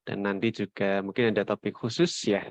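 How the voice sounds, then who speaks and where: A man giving a semi-formal product presentation in a halting way with pauses. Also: fairly low pitch, steady tone, no room sound. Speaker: company presenter. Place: online webinar call. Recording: dull, lo-fi online call audio.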